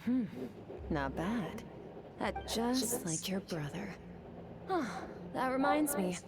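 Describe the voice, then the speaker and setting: An actress playing a stern, composed sword master in a video game, speaking in a measured, instructive way. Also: female voice, fairly high pitch, expressive, noisy background. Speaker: mentor character. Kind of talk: scripted game voice acting. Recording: loud music behind voice.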